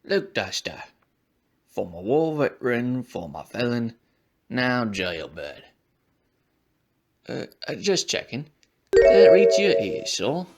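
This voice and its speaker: A man's voice: medium pitch, sing-song, slow, pauses often, a little mellow, faint music behind, heavily acted. A man acting a cocky, sardonic animal ex-soldier and felon on trial in an audio drama. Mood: mocking.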